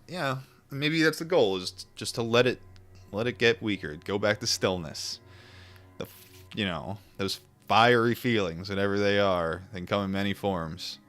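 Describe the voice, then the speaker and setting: Guy with a lowish voice reading a book and chatting casually, kinda reflective, faint music under him. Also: lively intonation, a bit bright. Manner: musing, informal, with pauses and repetitions. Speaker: streamer reading a book aloud and chatting with viewers. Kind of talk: book read-aloud mixed with casual reflective chat.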